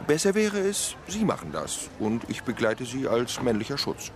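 An actor playing a scheming police detective who talks casually and cajolingly in a radio play. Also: male voice, mid-range voice, huge pitch swings, moderate pace, a crowd in the background. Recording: clear background noise and ambience.

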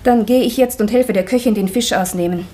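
An actress playing a blunt, sharp-tongued maid in a German radio play from the 1960s, dry, matter-of-fact and gently mocking. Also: female voice, a little high, a little fast, natural voice. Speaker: Emilia, the maid.

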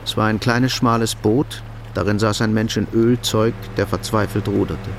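A man's voice: lowish voice, fairly even intonation, normal speed, gravelly, background noise. A man reading scripted literary narration for a radio drama.

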